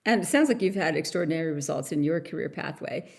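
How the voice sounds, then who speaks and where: A woman's voice: sits low, expressive, quick pace, creaky. A woman from Canada hosting a podcast interview in a playful, chatty way. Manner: playful, laughs easily. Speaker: podcast host. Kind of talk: casual interview chat.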